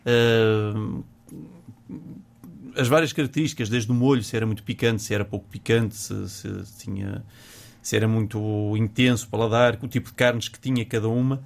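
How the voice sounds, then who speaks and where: A man's voice: lowish voice, steady tone, normal speed, vocal fry. A man from Porto with a northern Portuguese accent, a guest in a casual radio interview who talks in a chatty, hesitant way, repeating words and laughing easily. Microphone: studio microphone.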